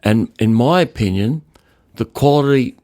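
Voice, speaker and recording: A man's voice: medium pitch, animated, normal speed, a little mellow, no echo, studio quality. An older man telling stories in a conversational interview, speaking emphatically and repeating words to stress them. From Australia. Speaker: loudspeaker designer, podcast guest.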